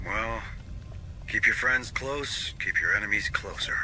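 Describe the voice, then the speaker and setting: A military squad leader in a game cutscene. His voice is lowish and very forceful, steady and dryly sardonic, with music behind it. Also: measured pace. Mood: measured, wary. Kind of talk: video game cutscene dialogue.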